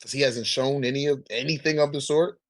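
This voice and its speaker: A man's voice: mid-range voice, steady tone, a little fast. A man in a casual debate, talking in heavy slang with swearing and a repeated check that the listener follows. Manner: slangy, profane, repeats "you know what I'm saying". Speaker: debater on a manga ranking podcast.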